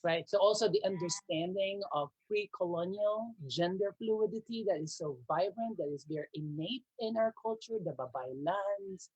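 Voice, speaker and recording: Husky-voiced Filipina panelist, dry sound. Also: female voice, mid-range voice, moderate pace, hardly any pauses, a little mellow, no echo, lo-fi sound. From Philippines. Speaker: panel guest. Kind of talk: casual panel discussion.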